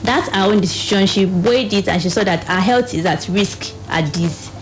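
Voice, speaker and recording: A woman's voice: mid-range voice, normal speed, soft background music. A woman with a Nigerian accent explaining as a guest in a radio interview, somewhat hesitant. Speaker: reproductive health advocate, studio guest.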